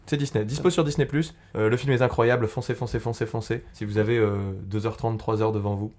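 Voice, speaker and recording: A man's voice: medium pitch, fairly even intonation, quick pace, darkish tone. A man on a podcast panel, enthusiastically recommending a film in casual chat, with hesitant fillers.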